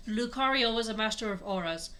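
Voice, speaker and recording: A woman's voice: fairly high pitch, fairly quick, pushed and bright. A woman narrating a story aloud as a co-host, with casual asides. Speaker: co-host reading fan fiction aloud.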